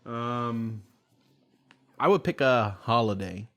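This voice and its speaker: A man's voice: mid-range voice, slow pace. A man hosting a casual family podcast, asking playful questions and reacting with amused exasperation. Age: adult. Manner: playfully exasperated, laughing along with children. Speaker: podcast host and father. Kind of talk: casual family chat, posing would-you-rather questions.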